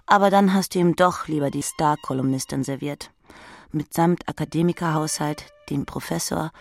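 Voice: medium pitch, gravelly. A narrator reading literary prose in the second person, speaking intimately to the listener.

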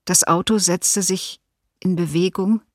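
A woman's voice: lowish voice, fairly even intonation, unhurried, no echo, studio quality. An actress playing a female massacre survivor who recalls her youth, giving testimony with grave restraint in a radio documentary. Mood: grave, aching.

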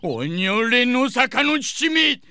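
A man's voice: extremely high pitch, sing-song, takes it slowly, bright, forceful tone, no echo, heavily acted. A male actor playing a blustering, comical old martial-arts master in an audio drama, using archaic old-man speech. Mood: furious blustering.

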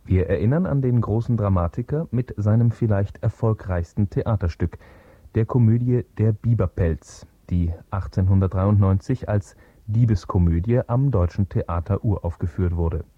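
Husky, low-voiced male radio announcer. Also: moderate pace, no room sound, dull audio. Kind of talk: scripted introduction narration.

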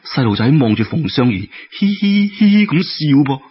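Male Cantonese storyteller: animated, colloquial delivery, slightly rough voice, lo-fi radio-like sound. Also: a little high, moderate pace, soft background music. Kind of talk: dramatic Cantonese-style folk tale storytelling.

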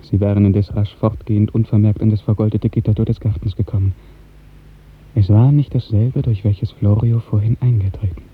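A man with a lowish, very breathy and husky voice narrates a literary tale. His delivery is measured and poetic, in 1950s radio drama style. The old recording is muffled and narrow. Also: steady tone.

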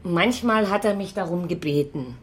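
An actress playing an ordinary bakery worker in a radio crime drama, talking in a hesitant, chatty way. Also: female voice, on the low side, moderate pace, lightly acted. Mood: hesitant, concerned. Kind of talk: naturalistic German radio play dialogue.

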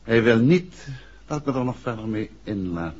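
A man's voice: mid-range voice, animated, normal speed, darkish tone, husky. An actor playing a composed, courteous male amateur sleuth and affectionate husband in a 1960s Dutch radio drama. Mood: measured. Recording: dull, muffled 1960s radio recording.

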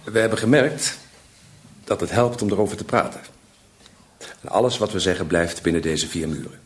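An actor playing an earnest support group leader in a radio drama, measured and reassuring as he addresses a group. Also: low-pitched, expressive. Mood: earnest, welcoming. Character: steady, earnest male support group leader, himself a struggler.

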